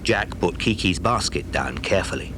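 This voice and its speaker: Low, expressive male story narrator. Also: moderate pace, background noise. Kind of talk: scripted children's story narration.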